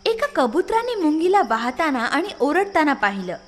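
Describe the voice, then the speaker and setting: A woman narrating a scripted children's story as an expressive storyteller. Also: high voice, normal speed. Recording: long reverb, music behind. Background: music.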